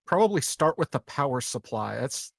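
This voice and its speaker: A man's voice: mid-range voice, big pitch swings, moderate pace, vocal fry, breathy edge, dry recording, studio quality. A man chatting informally as a player in a tabletop roleplaying game. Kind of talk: casual game chat.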